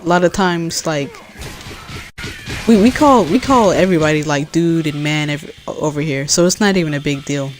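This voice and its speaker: A woman from California with a deep voice, chatting casually as she plays a game and answers her chat, rambling with many fillers and restarts. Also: normal speed, soft background music. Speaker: gaming streamer.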